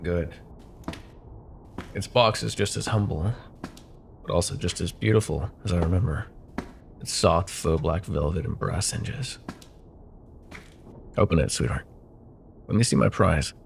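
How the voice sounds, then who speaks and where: A man playing a smug, possessive corporate CEO and jilted ex-lover in an intimate ASMR roleplay, with silky condescension and pointed pauses. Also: low voice, creaky, slight background noise. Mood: quietly covetous.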